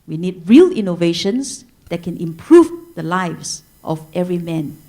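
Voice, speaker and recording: A woman with a fairly low, mellow voice speaks on a panel. She drives her argument with forceful statements that repeat the same opening. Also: very animated, moderate pace. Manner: forceful, repetitive declarations. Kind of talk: panel talk.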